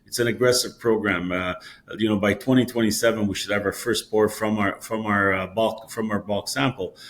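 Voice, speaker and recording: A man, a mining company executive, answers investor questions in a halting way, often repeating words and using fillers. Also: fairly low pitch, fairly even intonation, fairly bright tone.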